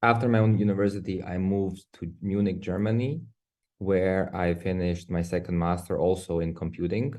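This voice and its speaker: A Croatian man introducing himself on a panel debate in a relaxed, anecdotal way. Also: deep voice, normal speed.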